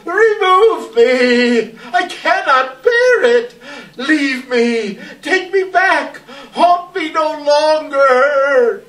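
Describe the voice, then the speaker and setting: An anguished old miser, played by a man, halting and pleading, with a pitch that swings widely and an unhurried pace. It is a theatrical stage reading, heard in an echoey space. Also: expressive, fairly bright tone, full character voice. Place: indoor live event, distant microphone. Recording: echoey hall from far off.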